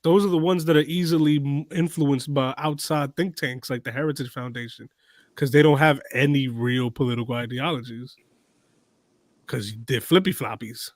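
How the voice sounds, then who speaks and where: A man's voice: medium pitch, moderate pace, no echo, studio quality. A man giving blunt, joking opinions in a casual political chat over a video call.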